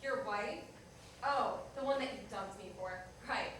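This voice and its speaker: A woman's voice: fairly high pitch, unhurried, fairly bright tone. A woman playing a bitter, jilted coffee-shop manager in a staged reading, with a curt, sarcastic and accusing manner. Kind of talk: staged reader's theater dialogue. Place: indoor performance room, distant microphone. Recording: echoey noisy room recorded from far off.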